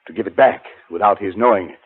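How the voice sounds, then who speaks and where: A man acting in a 1940s radio drama as a haunted, educated gentleman, telling his story in a formal, deliberate, dramatic way. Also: fairly low pitch, husky. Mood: uneasy, explaining. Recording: muffled 1940s radio recording, music behind.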